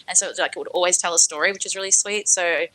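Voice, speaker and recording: A woman's voice: on the low side, fairly even intonation, rapid-fire, bright, forceful tone, poor audio. A woman chatting casually on a livestream panel, reminiscing about herself in an effusive, rambling way. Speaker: livestream panel guest and music fan.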